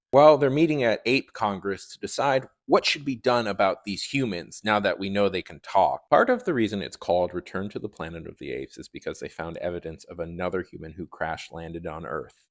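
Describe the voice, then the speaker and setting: A man giving a conversational, opinionated review in a wry, animated manner. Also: low-pitched. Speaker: video reviewer. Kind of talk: conversational review commentary to viewers.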